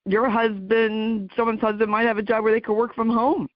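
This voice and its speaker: A woman's voice: on the high side, level tone, normal speed, no echo. A woman in her sixties hosting a podcast, chatting casually and circling back over her phrases as she talks. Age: 60s. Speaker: podcast host. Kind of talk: casual conversational interviewing. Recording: dry, slightly dull audio.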